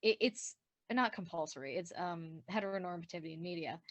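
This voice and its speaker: A woman with a lowish voice talks casually as part of a group commentary. She rambles a little and corrects herself as she explains. The sound is dry, with very little room. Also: a little fast, no room sound. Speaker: podcast creator and voice actor.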